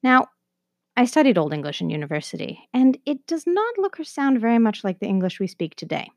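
A woman's voice: a little high, sing-song, brisk, dry recording. A woman presenting a scripted educational narration in a conversational way, as the host of a history lesson.

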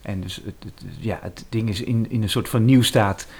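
Male musician interviewee, low voice, Netherlands Dutch, casual anecdotal talk, rephrases as he goes. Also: moderate pace.

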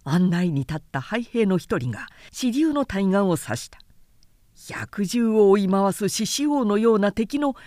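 Slow, expressive woman narrating a dramatic tale. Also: mid-range voice, dry recording, studio quality. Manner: measured, theatrical storytelling. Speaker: narrator reading literature aloud. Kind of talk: dramatic scripted literary narration.

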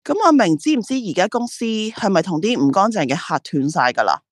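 A woman's voice: medium pitch, sing-song, a little fast, dry recording, clean studio sound. A woman playing a driven, proud and reflective female ad agency boss in a radio drama, with a Hong Kong Cantonese accent. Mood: businesslike. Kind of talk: radio drama dialogue and monologue.

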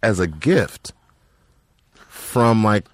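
A man hosting a casual comedy podcast, animated and joking, swearing freely. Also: on the low side, drawn-out words, no room sound. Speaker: podcast host.

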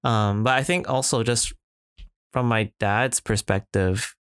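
A man's voice: mid-range voice, fairly even intonation, a little slow, a bit bright, smooth, no room sound, clean studio sound. A man in casual podcast chat, reflective, with many fillers and restarts. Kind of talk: casual conversation.

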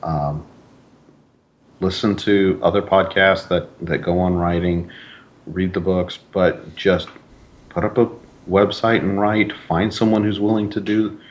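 Deep-voiced man, modest guest. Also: steady tone, measured pace. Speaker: writer, interview guest. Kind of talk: casual interview chat.

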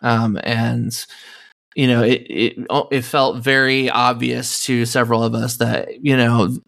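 A calm man speaks unhurriedly, with a gravelly edge to his mid-pitched voice. He is a podcast guest in relaxed conversation, and he leans on fillers as he goes. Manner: slow, calm, with many you-knows. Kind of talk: casual conversation.

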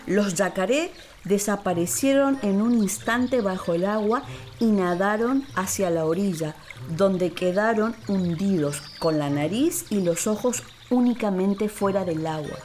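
A woman storyteller narrating for children in an expressive, dramatic way, with deliberate, emphatic phrasing. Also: medium pitch. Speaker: actress, storyteller. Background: music and water sound effects.

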